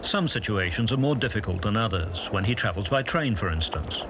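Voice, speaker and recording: Measured male documentary narrator over noise. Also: lowish voice, animated.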